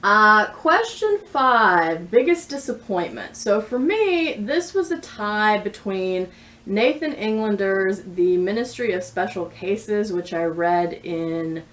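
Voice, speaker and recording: A woman's voice: mid-range voice, lively intonation, slow, fairly bright tone. A woman in her thirties chatting casually about books as a vlogger, with thoughtful, bookish phrasing. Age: 30s. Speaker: book vlogger. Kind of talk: casual book review chat to camera. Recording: distant mic with clear background noise.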